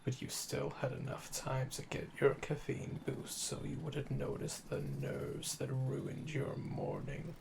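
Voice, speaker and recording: Man playing a slow, gravelly, seductive assassin. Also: mid-range voice, flat delivery, noisy background. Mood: smug, teasing. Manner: slow, deliberate, teasing, smug. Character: calm, flirtatious, obsessive male assassin under interrogation. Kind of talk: intimate ASMR audio roleplay.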